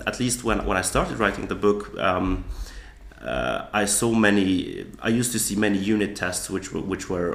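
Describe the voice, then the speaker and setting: A man with a low, gravelly voice talks through his ideas in a podcast interview. He explains things thoughtfully and often restarts his sentences. The sound is roomy and echoey. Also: a bit bright. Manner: thoughtful, with frequent restarts and fillers. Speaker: guest author.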